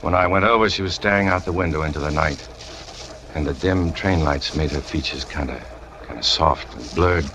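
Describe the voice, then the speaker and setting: An American actor playing a brooding, lovesick trapeze artist turned killer, terse and hard-boiled, in 1940s radio noir style. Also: male voice, rumbling low, moderate pace, background noise. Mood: brooding confession. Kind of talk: 1940s radio noir acting, confessional narration. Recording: old 1940s radio recording with music.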